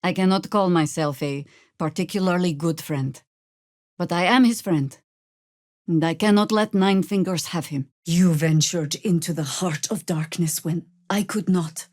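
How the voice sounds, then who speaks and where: Stern veteran druid woman, lowish voice, wry, commanding, measured, fantasy game dialogue, dry close recording. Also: darkish tone, no echo. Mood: grave, measured. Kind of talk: video game character dialogue and spell shouts.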